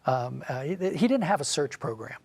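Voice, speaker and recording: Higher-voiced man explaining in interview. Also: big pitch swings, moderate pace, darkish tone, breathy edge, no room sound. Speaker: interview guest, audio drama writer. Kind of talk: conversational interview, explaining history.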